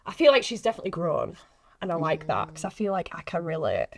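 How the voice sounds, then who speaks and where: Animated young woman chatting casually. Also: fairly low pitch, quick pace, creaky. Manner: giggly, animated, self-deprecating. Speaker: actress being interviewed. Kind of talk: casual podcast chat.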